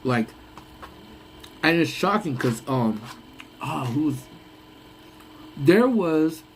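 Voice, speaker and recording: A young man with a slightly higher voice that sounds congested. He rambles through a casual live chat, laughs often and trails off mid-thought. There is a faint hum of ambience behind him. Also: expressive, extremely slow. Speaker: live stream host.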